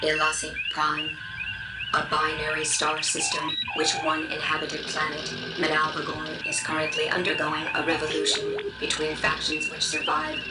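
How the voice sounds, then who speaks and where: A woman playing an emotionless starship library computer in a science fiction game, reading out data in a measured, impersonal way. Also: deep voice, completely flat delivery, natural voice. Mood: impersonal, informative. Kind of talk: scripted database readout in a science fiction game. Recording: echoey game audio under loud music.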